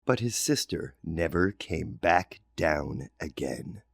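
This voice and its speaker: A man reading a children's storybook aloud, wry and animated. His pitch moves a lot, and the sound is dry and close with no room. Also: slow pace, vocal fry, breathy edge, no echo. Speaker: storybook reader. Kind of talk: read-aloud storybook narration.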